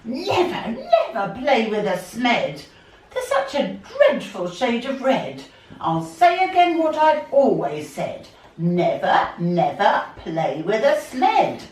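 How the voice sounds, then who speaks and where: A woman acts out a haughty old grandmother alien in a children's storybook. Her scandalised scolding shoots up shrill and swoops dramatically on each warning. The sound is echoey and slightly distant. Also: big pitch swings, slow pace, darkish tone. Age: older. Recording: roomy, distant home recording with hiss.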